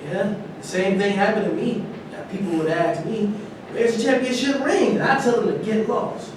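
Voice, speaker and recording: A man's voice: normal speed, natural voice. A man playing an argumentative, embittered former football star in a staged reading of a play. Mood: annoyed. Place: large reverberant room at a staged reading. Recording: very echoey hall, distant mic, rumbling noise.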